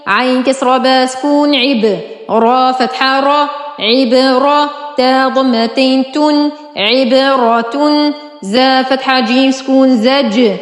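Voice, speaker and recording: A woman teacher reciting a spelling drill, sounding out each syllable deliberately with drawn-out enunciation. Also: high voice, fairly even intonation, echoey room, big-room sound, soft background music. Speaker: Quran reading teacher. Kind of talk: slow spelling-out Arabic phonics drill.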